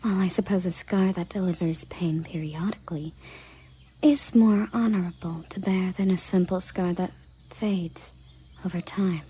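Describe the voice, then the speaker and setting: A woman playing a proud, commanding elven guard captain in a scripted roleplay audio drama, soft and often half-whispered, with formal, deliberate phrasing. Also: lowish voice, steady tone, slight background noise, natural voice, poor audio. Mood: curious, measured.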